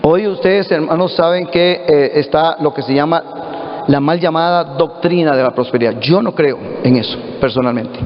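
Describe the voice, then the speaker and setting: A middle-aged Costa Rican pastor preaches earnestly, calling out to his brothers and sisters in a high voice. The sermon rings through a roomy hall with a long tail of reverb. The recording is dull and lo-fi. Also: moderate pace. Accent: Costa Rican Spanish. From Costa Rica. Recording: echoey hall, dull lo-fi audio.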